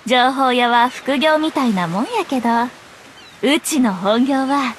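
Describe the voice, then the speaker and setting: A woman's voice: high-pitched, animated, slow, a little hiss or hum. A woman playing a breezy, teasing young journalist and playful liar in an anime game, speaking in a coquettish, lilting Kansai dialect. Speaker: game voice actor. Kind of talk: Japanese anime game voice acting.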